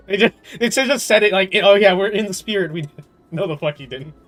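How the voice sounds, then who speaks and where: A man's voice: sits high, moderate pace, a bit bright, faint music behind. A man chatting casually over gameplay as a livestreamer, rambling and opinionated, with slangy, amused, incredulous talk and some swearing. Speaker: livestreamer playing a video game.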